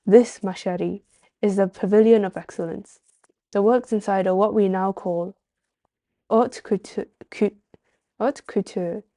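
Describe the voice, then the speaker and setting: A refined, protective aunt acted out by a woman reading a story game aloud speaks in formal, archaic phrases sprinkled with French. Her delivery is measured, heard close and dry. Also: mid-range voice, fairly even intonation, warm tone, dry recording, lightly acted. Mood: proud, instructive. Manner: formal, old-fashioned diction with French phrases.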